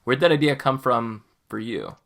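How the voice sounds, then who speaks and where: Bright-voiced male podcast host. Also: medium pitch, moderate pace, creaky. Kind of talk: casual podcast conversation.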